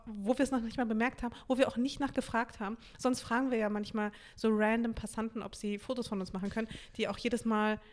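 A woman's voice: fairly high pitch, little pitch movement, fast talker, few pauses, darkish tone. Playful young woman chatting. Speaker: podcast co-host. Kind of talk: casual chat.

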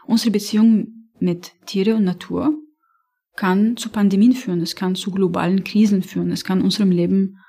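A woman's voice: medium pitch, fairly even intonation, normal speed, warm tone, husky. A woman from Lebanon with an Arabic accent, whose first language is Arabic, answering interview questions thoughtfully with frequent hesitations. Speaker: podcast guest, campaigner.

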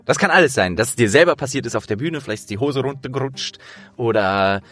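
A man's voice: medium pitch, expressive, fast talker, a bit bright, soft background music. A man hosting a casual interview, talking in a rambling, storytelling way.

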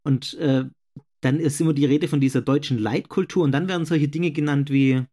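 A young man with a regional German accent co-hosting a casual podcast, agreeable, affirming often and pausing on fillers. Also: fairly high pitch, steady tone, mellow. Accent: regional German, not standard. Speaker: podcast co-host. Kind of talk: casual debate chat.

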